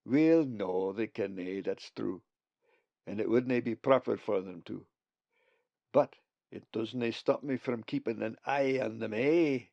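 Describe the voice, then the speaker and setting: A man's voice: fairly low pitch, measured pace, vocal fry, dry recording, highs cut off. A man doing a hearty Scottish raven. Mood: gentle. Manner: hearty, loud, dialect-heavy. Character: warm old male Scottish raven spirit.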